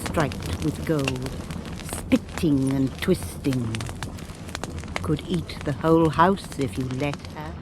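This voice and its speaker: An actor playing a musing fireside observer in a poetic radio drama, on an old noisy broadcast recording. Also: male voice, a little high, slow pace.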